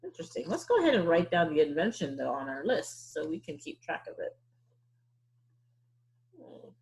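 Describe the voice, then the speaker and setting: Woman, children's story-time teacher. Husky, lowish voice, questioning and thinking aloud for young listeners. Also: sing-song, normal speed, darkish tone, low-quality recording. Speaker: teacher leading a read-aloud.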